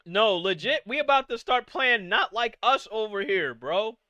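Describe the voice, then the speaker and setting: High, bright-voiced exasperated young man. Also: animated, moderate pace, no room sound, highs cut off. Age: 20s. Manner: exasperated, profane, rhetorical questions. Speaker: podcast host. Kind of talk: live-streamed casual rant.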